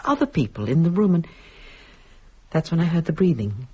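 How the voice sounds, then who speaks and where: Husky, sharp-tongued, frightened woman. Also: sits low, sing-song, normal speed, lo-fi sound, exaggerated voice. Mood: haunted, fearful. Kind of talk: stage-style audio drama acting.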